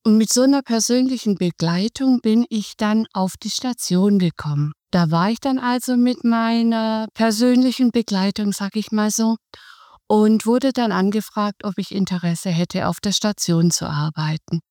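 A middle-aged woman speaks as a guest in a podcast interview, explaining things calmly and in measured steps. Her voice is mellow and warm. The recording is dry and close. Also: medium pitch, no room sound. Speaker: hospice volunteer, guest.

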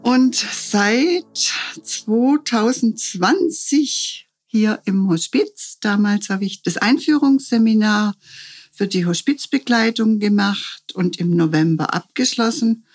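A middle-aged woman, a volunteer hospice companion, speaking reflectively in a conversational podcast interview, often pausing to restart her sentences. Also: mid-range voice, faint music behind. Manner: reflective, with frequent short restarts.

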